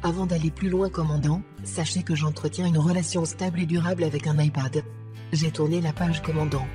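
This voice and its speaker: A synthetic female voice of an AI assistant, giving formal, measured, deadpan scripted information. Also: deep voice, faint music behind. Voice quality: synthetic text-to-speech voice. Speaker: AI ship assistant.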